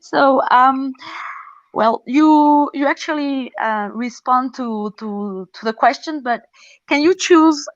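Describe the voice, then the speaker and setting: A woman's voice: high voice, takes it slowly, dry recording. A woman moderating a festival Q&A, hesitant and friendly, with frequent fillers. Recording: dull dry lo-fi audio.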